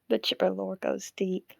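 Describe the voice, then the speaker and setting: A woman's voice: mid-range voice, creaky, a little mellow, no room sound, exaggerated voice. A woman acting a wry, amused audience member who banters dryly and casually in an audio drama. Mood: bemused.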